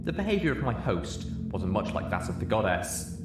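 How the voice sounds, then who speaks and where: A man's voice: on the low side, sing-song, moderate pace, over loud music. A man reading a story aloud as a scripted narrator. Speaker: narrator, audiobook reader. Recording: echoey room, audible background noise.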